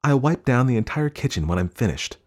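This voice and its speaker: Audiobook wife, played by a male narrator: defiant, indignant, pitched up high with swooping intonation. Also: big pitch swings, a little fast, lightly acted. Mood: exasperated. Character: defiant, exasperated, environmentally minded wife.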